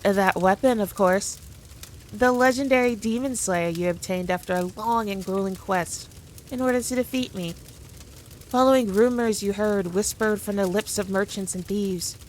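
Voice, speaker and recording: A woman's voice: mid-range voice, normal speed, a little hiss or hum, natural voice, poor audio. A woman playing a teasing, condescending demon queen in an ASMR roleplay audio drama, taunting the listener with haughty playfulness. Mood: smug, composed. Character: all-powerful demon queen, smug, dominant, teasing, condescending.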